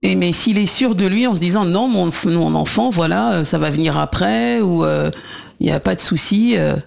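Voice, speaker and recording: A woman's voice: lowish voice, moderate pace, creaky. A woman hosting a radio discussion, asking questions in a hesitant, word-searching conversational way. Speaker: radio host.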